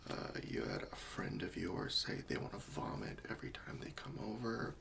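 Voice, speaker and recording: Man acting a gentle whispering cleanup worker, creaky voice. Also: lowish voice, sing-song, moderate pace, pitched below the performer's own voice, exaggerated voice. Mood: tactful, reassuring. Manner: soft, hesitant, soothing, politely tactful. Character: gentle, patient, faintly wry professional hoarding cleanup worker, male. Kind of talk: whispered ASMR roleplay.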